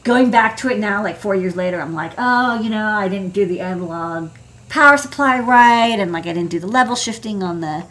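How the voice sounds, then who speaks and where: A woman's voice: mid-range voice, brisk, a bit bright. Woman, American accent, electronics engineer, casual livestream talk, informal, rambling, many asides. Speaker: electronics engineer presenting her work. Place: indoor desk workspace.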